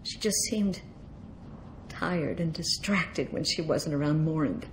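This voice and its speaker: A woman's voice: deep voice, slow, slight background noise, low-quality recording. A woman voicing a distraught, bitter middle-aged mother grieving in a video game. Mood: wistful sorrow.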